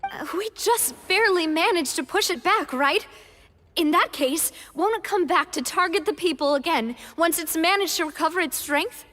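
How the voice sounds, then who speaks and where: Anxious, bright, high-voiced young woman. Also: moderate pace. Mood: worried. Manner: hesitant, questioning. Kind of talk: video game voice acting. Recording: reverberant hall.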